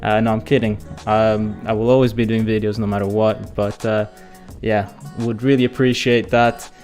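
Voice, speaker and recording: A man hosting a live football fan stream, chatty and excitable, hoarse and losing his voice as he reads viewer comments. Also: fairly low pitch, normal speed, faint music behind. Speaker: football channel host.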